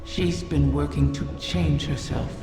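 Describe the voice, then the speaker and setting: An actor voicing a sly, ancient wish-dragon in a video game, speaking deliberately and cryptically with a menacing grandeur. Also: medium pitch, steady tone, gravelly. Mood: sly, brooding. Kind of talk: scripted game dialogue. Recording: long echoing reverb under music.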